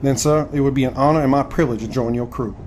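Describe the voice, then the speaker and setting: An actor playing an earnest, courteous young gentleman recruit of the 1870s in an audio drama. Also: mid-range voice, fast, a little hiss or hum, lightly acted. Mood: humbled, resolute. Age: 20s.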